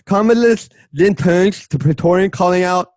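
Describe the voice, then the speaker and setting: High-voiced man narrating with wry asides. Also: moderate pace, darkish tone, no room sound. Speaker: narrator of a radio play. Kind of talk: loose, comic scene narration of a script.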